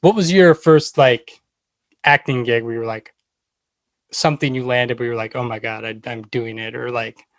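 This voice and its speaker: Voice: medium pitch, fairly even intonation, normal speed, no room sound. A podcast host of unclear sex chatting casually, with an informal, enthusiastic way of talking. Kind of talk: casual interview chat.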